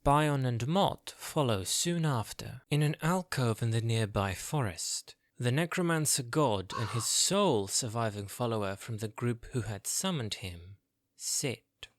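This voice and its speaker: A man's voice: medium pitch, unhurried, no echo, studio quality. A man narrating a fantasy audio drama from a script.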